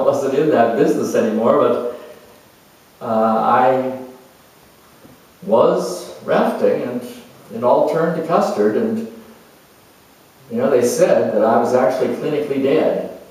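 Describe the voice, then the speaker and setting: A man playing an earnest, reflective spiritual seeker in a dramatized monologue, speaking haltingly and conversationally with pauses. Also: medium pitch, darkish tone, natural voice. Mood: earnest, wondering. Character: earnest spiritual seeker, male adventurer turned motivational broadcaster. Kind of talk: monologue in character, delivered conversationally. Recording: echoey room, distant microphone.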